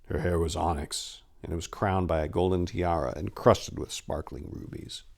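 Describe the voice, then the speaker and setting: Deep-voiced man reading a fantasy tale. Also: steady tone, moderate pace, vocal fry. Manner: theatrical storytelling. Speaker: story narrator.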